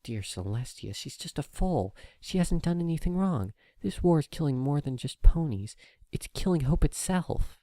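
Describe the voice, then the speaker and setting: A man voicing a worried, kindly female unicorn medical officer in an audio story, speaking gently and carefully as if to a child. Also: a little high, lively intonation, normal speed, no room sound, clean studio sound, voice pushed up. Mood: sorrowful.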